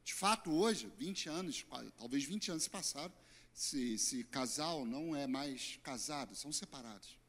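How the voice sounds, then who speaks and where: Hoarse Brazilian pastor in reverberant hall. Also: male voice, mid-range voice, animated, normal speed. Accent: Brazilian Portuguese. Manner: earnest, emphatic preaching with sudden loud and soft swings. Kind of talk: sermon.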